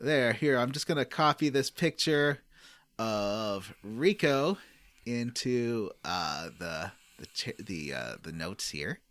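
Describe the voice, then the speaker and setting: A man with a very lively, swooping delivery, recorded dry and close. He hosts a casual gaming podcast, joking along and slipping into characters' lines as he recaps. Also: medium pitch, slow pace, no echo. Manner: jokey, acts out characters' lines while recapping. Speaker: podcast host.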